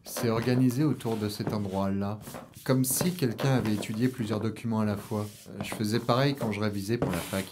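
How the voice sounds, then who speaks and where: A man's voice: lowish voice, fairly even intonation, fairly quick, background noise, lightly acted. A man playing a wary young urban explorer in an audio drama, talking in a colloquial, questioning, uneasy way. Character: wary young male urban explorer, sceptical and easily rattled. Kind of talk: naturalistic audio drama dialogue.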